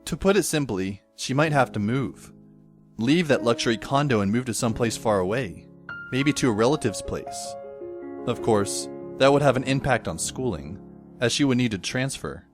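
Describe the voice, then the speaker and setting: A man's voice: mid-range voice, lively intonation, moderate pace, lightly acted. A man playing a sardonic, exasperated teenage schoolboy who narrates and acts his own story in an amateur audio drama, wordy and dry. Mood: evasive. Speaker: narrator and lead character. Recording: faint music behind the voice. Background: faint music.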